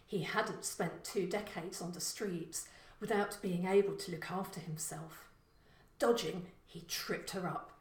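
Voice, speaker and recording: A woman reading a short story as scripted narration, in a measured, expressive storytelling manner. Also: lowish voice, roomy sound.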